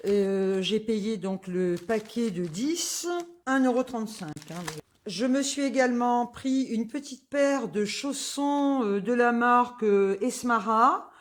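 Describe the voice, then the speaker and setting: A woman's voice: a little high, takes it slowly. A woman, chatting casually through a grocery haul, full of fillers and asides. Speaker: vlogger.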